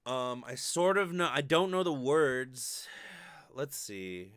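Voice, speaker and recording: A man's voice: mid-range voice, sing-song, slow, fairly bright tone, no echo. A man in his thirties chatting casually to viewers in a rambling, self-deprecating way with plenty of swearing, close on a studio mic in a bedroom. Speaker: vlogger and amateur ukulele player. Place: bedroom, indoors. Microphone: studio condenser mic up close.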